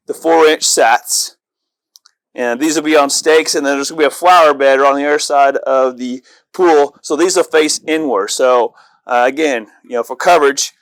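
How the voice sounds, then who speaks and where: An American man with a slightly high voice talks like an installer showing off his work. He is informal and keen on the gear, recorded outdoors in a backyard with a fairly dry, close sound. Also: fairly bright tone, dry recording. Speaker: audio-video installer presenting a project. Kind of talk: casual walkthrough vlog.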